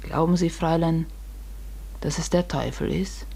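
Radio play: secretive, guilt-ridden farmer's wife, middle-aged, lower register, husky, hushed. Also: female voice, moderate pace, full character voice. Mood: guilty, grave. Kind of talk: German radio drama acting.

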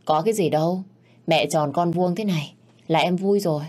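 A woman voicing a caring sixteen-year-old sister who speaks gently and earnestly. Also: fairly low pitch, normal speed, lightly acted. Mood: tender.

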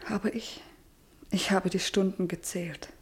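An actress playing a shy, lovesick young woman in a German radio drama, soft and tenderly reproachful. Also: medium pitch, fairly even intonation, a little slow, natural voice. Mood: yearning, reproachful.

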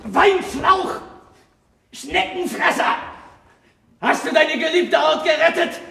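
A man's voice: extremely high pitch, slow pace, gravelly, sharp tone, full character voice. An actor playing an agitated, bitterly scornful man in a German radio drama. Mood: outraged, scornful. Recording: echoey hall.

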